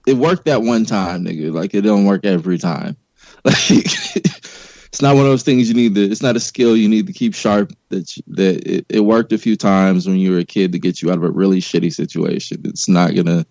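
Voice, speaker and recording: A man's voice: low voice, animated, normal speed, vocal fry, a little mellow, no room sound, lo-fi sound. A man talking in a loose, casual way as a guest on a podcast discussion.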